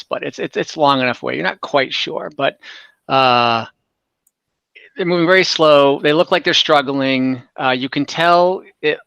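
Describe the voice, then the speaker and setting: A man working as game master, narrating an online tabletop game in a casual, halting, conversational way. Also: mid-range voice, creaky, fairly bright tone, dry recording. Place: indoors, online call.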